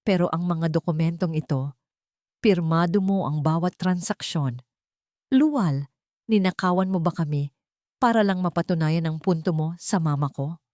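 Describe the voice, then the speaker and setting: A woman's voice: on the low side, moderate pace, a little mellow, no room sound, clean studio sound, lightly acted. A woman voicing an anguished, betrayed husband, tearful and bitter, in a dramatized audio story. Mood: tearful pleading.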